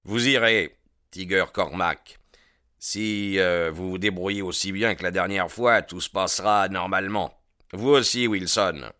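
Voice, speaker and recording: A man doing a low, commanding gang boss. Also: measured pace, pushed and bright, no echo, studio quality. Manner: commanding, smooth-talking. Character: authoritative male gang boss.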